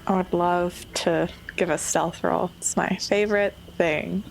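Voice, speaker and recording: Female tabletop player, lowish voice, measured descriptive delivery, audible noise behind. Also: steady tone, creaky, poor audio. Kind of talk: narrating own character's actions.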